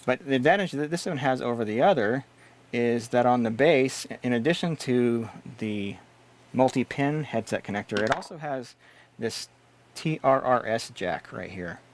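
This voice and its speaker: A man's voice: medium pitch, expressive, moderate pace, a little hiss or hum. A man hosting a gear video, explaining products in a casual, conversational way.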